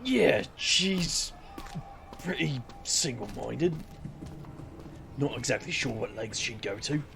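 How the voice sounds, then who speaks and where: A man acting a wry, awkward detective magician in an audio drama, with a gravelly voice and dry, halting delivery. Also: mid-range voice, lively intonation. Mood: guarded.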